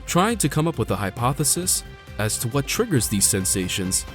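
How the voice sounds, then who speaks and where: Man acting as a lively-pitched scientist expert. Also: faint music behind, lightly acted. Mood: measured, official. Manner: formal, deliberate explaining. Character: male head biologist, measured official expert. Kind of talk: scripted news interview.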